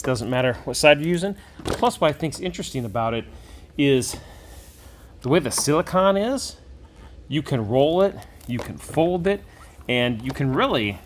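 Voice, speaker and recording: A man's voice: fairly high pitch, slight background noise. A man hosting a casual hands-on tabletop gaming product review, talking conversationally and thinking aloud as he goes.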